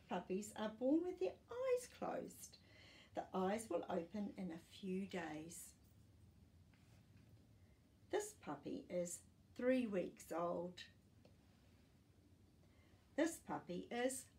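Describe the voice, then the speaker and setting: Slow, lively older woman reading to children. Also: medium pitch. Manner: expressive, teacherly, addressing young children with questions. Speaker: children's storytime reader. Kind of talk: reading a children's non-fiction picture book aloud with asides. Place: small furnished living room, indoors.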